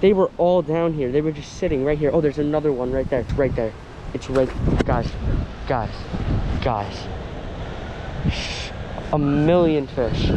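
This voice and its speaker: A man's voice: fairly high pitch, measured pace, pauses often, dull audio, heavy background noise. A young American man talking casually and slangily to viewers in an outdoor fishing vlog. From northern United States. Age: young adult. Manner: informal, slangy, addresses viewers. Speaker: fishing vlogger, host. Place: outdoors, lawns and lakeside. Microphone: handheld or body-worn action camera.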